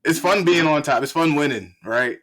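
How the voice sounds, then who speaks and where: A man hosting a casual interview, relaxed and upbeat, chuckling along with his guest. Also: fairly high pitch, moderate pace. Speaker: interview host.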